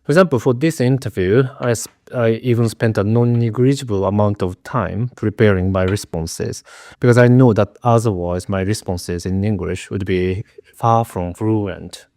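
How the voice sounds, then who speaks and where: A man's voice: lowish voice, steady tone, moderate pace, a little mellow, dry recording, clean studio sound. A middle-aged man from Japan with a Japanese accent, a researcher giving earnest, carefully prepared interview answers with frequent restarts, sounding a little nervous.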